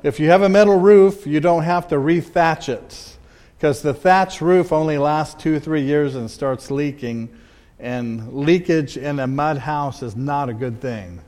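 A man's voice: fairly high pitch, darkish tone. Man presenting slides in a reverberant hall. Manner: conversational, with restarts and pauses. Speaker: missionary speaker. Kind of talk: slide presentation to a church audience.

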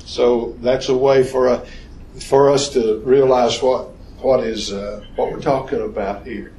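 A man teaching an informal Bible study lesson, talking slowly and haltingly with restarts and questions to the class. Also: medium pitch, fairly even intonation, warm tone, a little hiss or hum. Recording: echoey room.

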